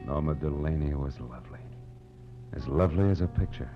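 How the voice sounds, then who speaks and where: An actor playing a hard-boiled, world-weary private detective in a 1940s radio drama, with an American accent and clipped, deadpan delivery. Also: male voice, a bass voice, brisk. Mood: tense, measured. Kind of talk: 1940s radio noir acting, first-person narration. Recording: muffled old radio recording with music.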